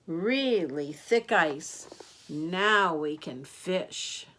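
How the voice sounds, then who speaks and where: A woman voicing a protective, cautious father in a children's storybook, speaking deliberately and emphatically. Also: lowish voice, expressive, a bit bright, a little hiss or hum, heavily acted. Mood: admiring.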